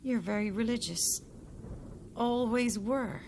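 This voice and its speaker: Slow, probing reporter. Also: female voice, a little high, creaky, a little mellow, slight background noise. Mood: wary, probing. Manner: measured, probing, accusing. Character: grimly probing female reporter confronting a killer. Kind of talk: audio horror drama acting.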